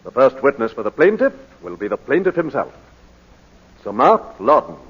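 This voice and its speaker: An actor playing a formal, courteous British barrister examining his client, with measured oratory in 1940s radio drama style. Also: medium pitch, big pitch swings, slight background noise, lightly acted. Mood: oratorical. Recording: muffled vintage 1940s radio broadcast.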